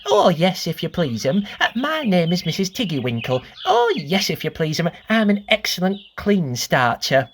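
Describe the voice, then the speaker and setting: A woman's voice: fairly low pitch, huge pitch swings, moderate pace, few pauses, a little hiss or hum, voice pushed up. A woman voicing a stout little hedgehog washerwoman from a storybook, anxious, eager to please and fussily polite. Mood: flustered, polite. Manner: fussy, polite servant talk with repeated apologies and exclamations.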